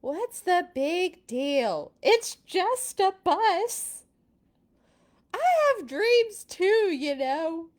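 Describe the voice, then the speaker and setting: Woman as whiny, stubborn childish pigeon for a storybook, high voice, big swoops, wheedling and exclamatory. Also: sing-song, heavily acted. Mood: indignant tantrum. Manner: wheedling, whiny, exclamatory, dramatically drawn-out pleas. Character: pleading, stubborn, childish cartoon pigeon begging to drive. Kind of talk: animated storybook read-aloud.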